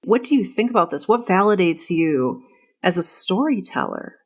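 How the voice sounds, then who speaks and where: A woman with a somewhat low-pitched voice hosts a conversational interview. She muses openly and puts questions in a reflective, searching manner. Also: big pitch swings, normal speed, slightly rough. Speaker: podcast host and interviewer.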